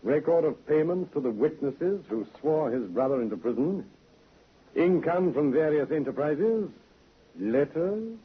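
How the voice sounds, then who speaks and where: An actor playing a calm, shrewd police inspector with a dry, measured, commanding manner in a vintage radio drama. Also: male voice, a little high, slightly rough, heavily acted. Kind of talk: 1950s radio drama acting. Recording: tinny narrow vintage radio recording.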